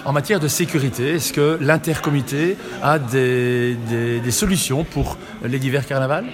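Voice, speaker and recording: A man's voice: medium pitch, moderate pace, a crowd in the background. A man presenting and asking questions as a radio interview host.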